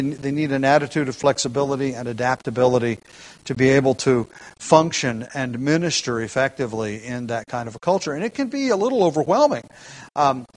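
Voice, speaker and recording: A man, a pastor, preaching a sermon in a halting way, with many restarts and light chuckles. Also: mid-range voice, low-quality recording.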